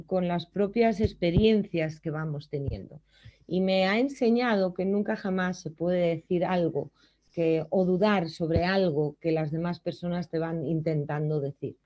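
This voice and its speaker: A middle-aged woman from Germany telling her personal work story in an earnest, reflective way, often pausing to hesitate. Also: fairly low pitch, warm tone, muffled recording. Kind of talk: personal testimony.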